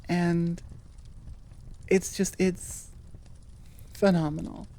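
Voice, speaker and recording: A woman's voice: fairly low pitch, level tone, very slow, vocal fry, dark tone. A woman hosting her audio roleplay channel, chatting to listeners in an informal, rambling, candid way. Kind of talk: casual chat to listeners.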